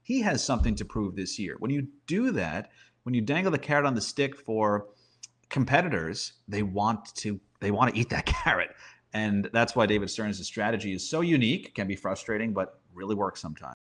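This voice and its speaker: A man's voice: lowish voice, big pitch swings, moderate pace, fairly bright tone. A man giving conversational sports analysis as a guest broadcaster, with emphatic repetition and rhetorical questions.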